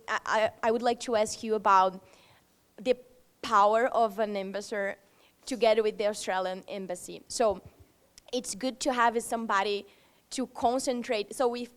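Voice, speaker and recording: A woman in the audience at a conference, asking a long, wordy question full of fillers. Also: medium pitch. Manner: wordy, repeats herself, many fillers.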